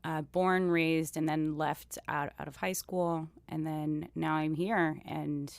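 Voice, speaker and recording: A woman from Miami talking casually about her art, her voice sitting low, and her phrases loop and restart with lots of "like." The sound is close and dry, with no room around it. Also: level tone, slow pace, creaky, dry recording. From Miami, USA. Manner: rambling, many "like" fillers and restarts. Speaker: guest artist. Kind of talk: casual interview conversation.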